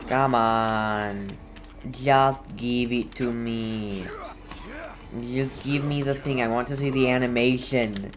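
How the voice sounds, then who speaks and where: Casual streamer, mid-range voice, unhurried, halting, slangy muttering over gameplay. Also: mid-range voice, steady tone. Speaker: game streamer.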